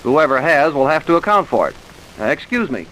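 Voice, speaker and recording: A man playing a thorough, insistent police inspector in an old radio drama, questioning people briskly. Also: fairly high pitch, sing-song, fairly bright tone, low-quality recording. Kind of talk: 1940s radio drama acting. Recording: hissy old radio recording.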